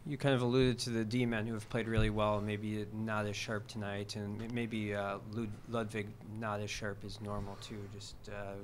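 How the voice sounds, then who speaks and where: A man, a reporter, asking a coach questions at a press conference in halting phrases. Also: on the low side, level tone, a little hiss or hum.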